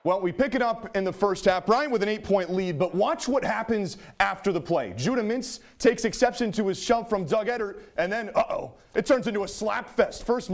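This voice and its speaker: Male sports broadcaster, fairly high voice, emphatic and punchy, calling highlights and filing TV reports. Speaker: sports play-by-play announcer and TV reporter.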